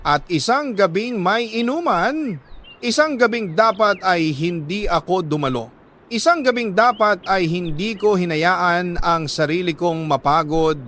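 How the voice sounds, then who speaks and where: A man with a Filipino accent reading a love letter as a radio storyteller, in an earnest, sentimental dramatic narration with measured pauses. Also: high voice, animated, fairly bright tone, slight background noise.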